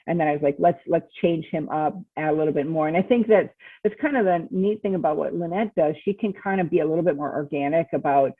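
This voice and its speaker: A woman's voice: low-pitched, fast, slightly rough, dry recording. A woman author chatting casually as a podcast interview guest, explaining things and acting out her thoughts as quotes. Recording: tinny phone-like line, muffled words.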